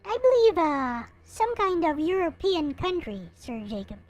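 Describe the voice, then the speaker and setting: A woman with a high voice and very lively intonation gives casual commentary while hosting a game read-through. Her asides come out hesitant and halting, and music plays along with her. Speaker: host reading a visual novel aloud.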